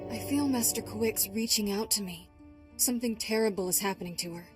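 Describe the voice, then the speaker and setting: A woman's voice: mid-range voice, a little fast, over music, close to an everyday voice. A woman playing a young, headstrong apprentice knight in a video game, earnest and emotional. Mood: distressed, worried. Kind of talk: video game cutscene acting.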